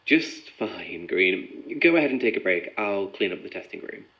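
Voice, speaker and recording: Lowish-voiced methodical male researcher. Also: lively intonation, normal speed. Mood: businesslike, dissatisfied. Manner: clinical, probing, precise. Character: composed, methodical male researcher running an experiment. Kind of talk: audio drama acting. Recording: muffled sound with music behind.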